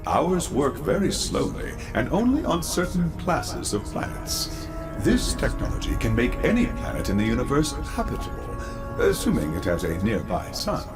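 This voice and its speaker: A man's voice: mid-range voice, wildly swooping pitch. Quirky old genius professor type, formal n fatherly, voice goes all over the place, music behind. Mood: musing, fascinated. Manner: theatrical, formal, precise diction with drawn-out musing. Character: elderly eccentric cerebral scientist leader, fatherly, odd. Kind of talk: video game cutscene acting. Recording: music under the voice.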